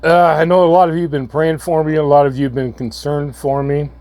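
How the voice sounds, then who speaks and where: A hoarse man, coming down with a cold, giving a halting, earnest update to his viewers. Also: a little high, level tone, a little mellow, no room sound. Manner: halting, repeats words, slow and earnest. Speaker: channel host. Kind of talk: casual vlog update.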